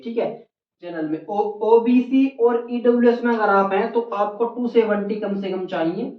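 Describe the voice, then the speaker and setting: A presenter explaining things informally to exam candidates, in an instructive way that repeats key figures for emphasis. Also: medium pitch. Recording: muffled, echoey room recording.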